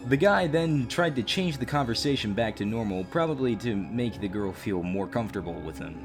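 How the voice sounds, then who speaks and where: A man's voice: medium pitch, animated, a little fast. A man doing exclamatory online commentary, reading out chat messages and reacting with open disgust. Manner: exclamatory, openly disgusted asides. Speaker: YouTube host narrating a story. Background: background music.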